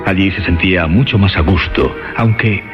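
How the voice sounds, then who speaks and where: A male actor gives a deep, grave narration for a suspense radio play. The delivery is measured and ominous yet richly inflected. It rings with long reverb over faint music. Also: lo-fi sound, close to an everyday voice.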